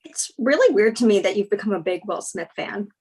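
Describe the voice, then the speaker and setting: A woman playing a frank twenty-seven-year-old girlfriend in a screenplay table read, speaking in a dry, deadpan way. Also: medium pitch, brisk, husky.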